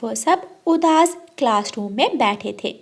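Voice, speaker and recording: High-pitched woman narrating a children's story. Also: lively intonation, a little slow.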